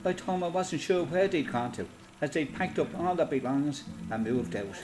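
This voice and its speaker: A man with an Irish accent playing a resolute, plain-spoken freedom fighter giving a matter-of-fact, measured first-person testimony in a radio play. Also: on the high side, expressive, music in the background, close to an everyday voice. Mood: matter-of-fact recollection.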